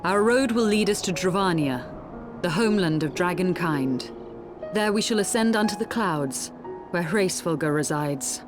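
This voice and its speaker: Fantasy game priestess: proud, stern, low-pitched, formal, music behind. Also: female voice, expressive, a little slow. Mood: solemn. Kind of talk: video game voice acting.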